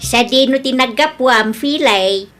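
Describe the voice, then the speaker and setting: A woman's voice: high-pitched, measured pace, music in the background. A woman acting a frank village mother in a radio comedy drama, giving admonishing advice.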